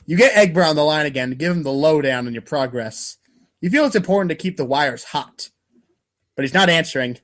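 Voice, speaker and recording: A man's voice: fairly high pitch, animated, fairly quick, a bit bright. A man narrating a webcomic aloud in an informal, dramatic second-person style. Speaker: reader of a webcomic read-aloud show.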